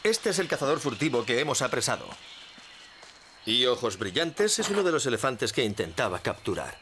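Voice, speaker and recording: An actor playing a fair, compassionate park ranger in a dubbed cartoon, speaking earnestly. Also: male voice, medium pitch, sing-song, moderate pace, noisy background, lightly acted. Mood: sympathetic.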